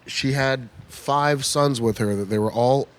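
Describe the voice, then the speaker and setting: A man in his fifties from California, guesting in a comedy club bar. He tells lively anecdotes at a mid-range pitch and slips into the voices of the people he quotes. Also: a little slow. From United States (California). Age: 50s. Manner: animated storyteller who acts out quoted voices. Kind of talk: casual interview chat.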